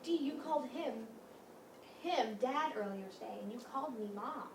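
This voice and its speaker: A woman's voice: high voice, expressive, moderate pace. A woman in a staged play reading playing a stern, probing psychiatrist, firm and questioning. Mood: accusing, firm. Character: stern, probing female psychiatrist on night rounds. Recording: echoey room, distant mic, clear noise.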